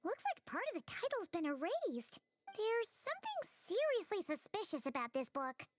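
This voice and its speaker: Tiny fairy sidekick for a video game: very high, squeaky, childlike, hugely animated, nosy and excitable. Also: female voice, moderate pace, slight background noise, exaggerated voice. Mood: suspicious, puzzled. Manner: exclaiming, inquisitive. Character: small childlike female fairy companion, curious and excitable. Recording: game music behind.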